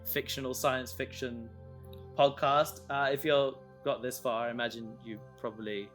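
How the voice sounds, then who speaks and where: Guy cohosting a podcast, bright forward voice, rambly and stop-start, music underneath. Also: mid-range voice, fairly even intonation. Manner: rambling, halting, self-interrupting. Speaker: podcast co-host and audio drama creator. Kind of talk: casual podcast chat.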